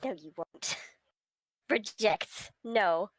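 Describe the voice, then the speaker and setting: A woman's voice: medium pitch, extremely slow, low-quality recording. A woman chatting casually on a live stream and reading visual novel dialogue aloud as they play.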